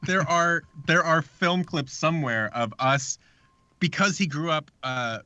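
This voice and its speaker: A man's voice: on the high side, unhurried, bright, forceful tone, no room sound, dull audio. A man in his thirties chatting casually as a guest in a live interview, often restarting his sentences as he explains. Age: 30s. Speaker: audio drama producer, interview guest.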